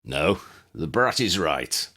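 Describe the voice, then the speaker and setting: A harsh, bullying villain from a storybook, acted out by a male narrator. The voice is low and husky, and he gives orders with menace. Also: sing-song, slow, sharp tone, exaggerated voice. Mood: menacing.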